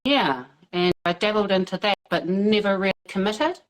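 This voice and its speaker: A woman's voice: medium pitch, expressive, normal speed. A woman in her thirties from New Zealand with a New Zealand accent, a guest on a video call interview, talking casually with lots of fillers and easy laughs. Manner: hesitant, many fillers, laughs easily.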